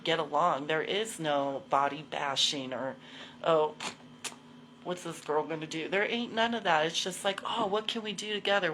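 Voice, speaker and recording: A woman's voice: fairly low pitch, a bit bright, a little hiss or hum, rough recording. A woman in her forties talking casually to livestream viewers, candid and slangy, swearing freely and repeating herself for emphasis. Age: 40s. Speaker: host of a live video show.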